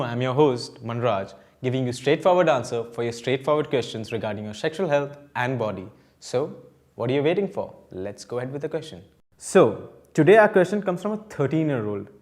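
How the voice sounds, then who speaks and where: A man hosting a youth health Q&A, explaining things upbeat and reassuringly straight to viewers. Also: mid-range voice. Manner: reassuring, addresses viewers directly. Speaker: host of a youth health Q&A show. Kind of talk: upbeat presenter explainer to camera.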